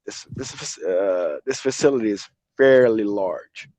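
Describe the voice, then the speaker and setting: A man calling in to a debate, answering haltingly with restarts. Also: on the low side, darkish tone, no echo. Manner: halting, hesitant.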